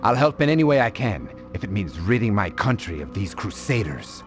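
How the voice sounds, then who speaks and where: An actor playing an eager resistance foot soldier in a video game, earnest and animated in delivery. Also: male voice, lowish voice, brisk. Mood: earnest, deferential. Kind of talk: video game cutscene voice acting. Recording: faint music behind the dialogue.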